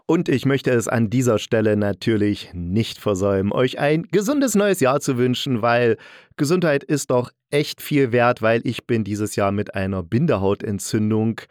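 A man's voice: medium pitch, moderate pace, a little mellow, no echo. Chatty man podcasting in a dry room. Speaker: podcast host and audio drama critic. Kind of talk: solo podcast presentation, conversational.

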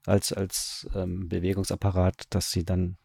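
A man talks casually as an interview guest, his voice low and rough with creak. He feels his way through each explanation, pausing and rephrasing as he thinks aloud. The sound is close and dry. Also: monotone, a little mellow, no echo. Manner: halting, searching for words, thinking aloud. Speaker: radio drama director, interview guest.

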